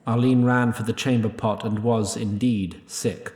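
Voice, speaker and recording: A man reading scripted story narration in a measured, solemn manner. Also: lowish voice, steady tone, a little mellow, roomy sound, a little hiss or hum.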